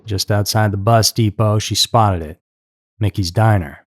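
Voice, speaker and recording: A man's voice: on the low side, measured pace. A man reading scripted true-crime narration as a narrator. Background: music.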